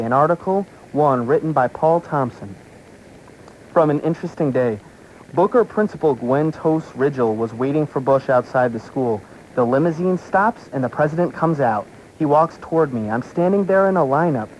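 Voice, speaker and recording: A man hosting a radio talk show, reading an article aloud with deliberate, emphatic phrasing. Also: on the high side, darkish tone, highs cut off. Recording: faintly noisy shortwave radio taped on cassette.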